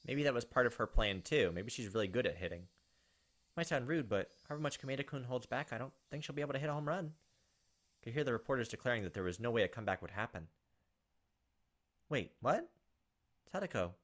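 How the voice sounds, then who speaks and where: A man's voice: medium pitch, expressive, quick pace, long pauses, fairly bright tone. A man hosting a gameplay video, reading a visual novel aloud as dramatized, playful narration.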